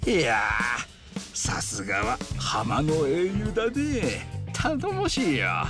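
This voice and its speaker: A man's voice: fairly high pitch, huge pitch swings, slow pace, music in the background, heavily acted. An actor playing a mild, admiring, apologetic male office co-worker in a video game, deferential in manner. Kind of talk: dramatic video game voice acting.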